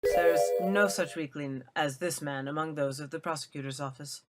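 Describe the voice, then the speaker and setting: A woman's voice: sits low, normal speed, heavy background noise, lightly acted. A woman voicing a haughty, imperious young female prosecutor in a video game fan dub, formal and disdainful. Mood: scornful.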